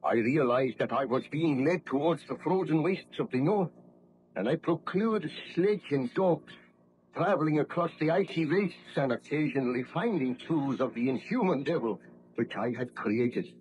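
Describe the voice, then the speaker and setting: An actor playing a tormented, guilt-ridden baron scientist, speaking in a formal, anguished, declamatory way in a 1930s radio horror play. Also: male voice, on the high side, lively intonation, moderate pace, a little mellow, lo-fi sound, close to an everyday voice. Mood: weary, haunted. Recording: noisy old 1930s radio recording.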